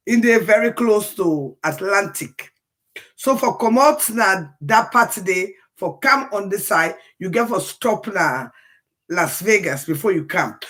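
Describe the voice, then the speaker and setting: A hoarse woman from Sierra Leone with a Sierra Leonean accent, talking casually on a livestream and repeating phrases for emphasis. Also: mid-range voice, sharp tone. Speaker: livestream commentator.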